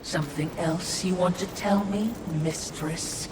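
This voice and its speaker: A woman's voice: on the low side, steady tone, measured pace, distant mic, noisy background, close to an everyday voice. A woman voicing a sarcastic demonic servant in a video game, insinuating and mock-deferential. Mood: probing. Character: sly, sarcastic female demonic servant creature. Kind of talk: video game cutscene acting.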